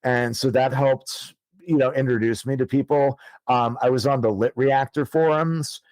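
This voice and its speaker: A man's voice: mid-range voice, steady tone, moderate pace, darkish tone, no echo, poor audio. Guy in his 30s telling his story, lots of ums and likes, swears a bit, mid voice, dry sound. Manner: rambling, many ums and likes, swears casually. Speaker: author guest. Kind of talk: casual storytelling interview. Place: podcast studio.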